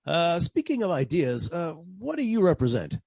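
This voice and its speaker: An actor playing a wry, deadpan private detective narrating his caper in 1940s radio style. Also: on the high side, normal speed, creaky, husky, no room sound, clean studio sound, heavily acted. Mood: dry, polite. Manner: deadpan, wisecracking.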